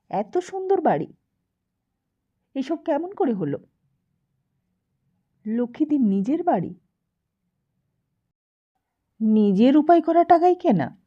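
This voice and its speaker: Female audiobook narrator: dark, relaxed tone, expressive storytelling, somewhat dull lo-fi sound. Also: a little high. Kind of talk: expressive scripted literary narration.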